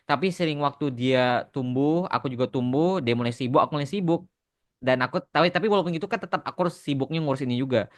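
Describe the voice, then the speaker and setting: A man's voice: mid-range voice, fast talker. A young man telling stories casually on a podcast, informal and mixing in English phrases. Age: young adult. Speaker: animation creator answering fan questions on a podcast.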